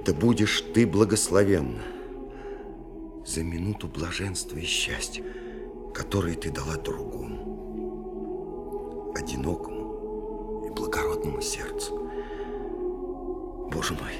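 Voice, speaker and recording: A man's voice: low voice, huge pitch swings, unhurried, long pauses, over loud music, exaggerated voice. An actor playing a lonely, melancholy dreamer, narrating his story in a reflective, wistful literary radio drama style. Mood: tender, wistful. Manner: reflective, wistful, breaking into whispers.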